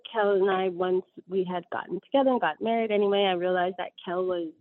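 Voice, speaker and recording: A woman's voice: on the low side, brisk, dry recording. Interview guest, woman, 30s. Rambling, self-correcting storyteller, tinny dry line. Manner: rambling, frequent ums and self-corrections, laughs often.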